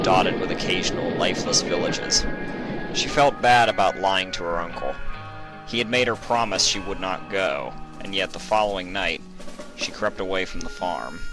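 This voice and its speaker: A man reading a scripted story as its narrator. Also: deep voice.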